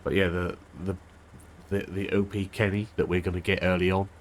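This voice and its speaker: A man hosting a gaming channel, chatting casually over gameplay with a stuffed-up, unwell voice, thinking aloud with a monotone delivery. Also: low voice, a little fast, slight background noise. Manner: rambling, thinking aloud with restarts.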